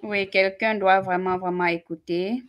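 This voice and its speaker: A woman with a Cameroonian French accent telling her personal story on a live stream, animated and talking straight to her listeners. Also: medium pitch, unhurried, highs cut off.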